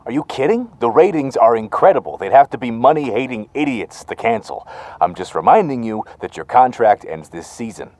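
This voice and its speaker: A man's voice: medium pitch, expressive, a little fast, a little mellow, dry recording, close to an everyday voice. A man acts out a chummy, persuasive talent agent in a fiction podcast. His mid-range voice swings expressively. He is glib and pushy, recorded dry and close. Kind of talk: naturalistic audio drama acting.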